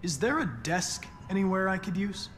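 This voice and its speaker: An actor playing a polite, eager android police detective in a video game, speaking in formal, measured phrases. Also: male voice, sits high, lively intonation, fairly bright tone, long reverb tail, soft background music. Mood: polite, friendly. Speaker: police investigator.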